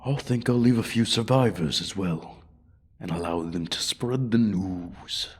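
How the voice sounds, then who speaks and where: A man voicing a menacing, condescending dragon who taunts human prey in a fantasy ASMR roleplay, husky and breathy. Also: low voice, measured pace. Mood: hungry menacing.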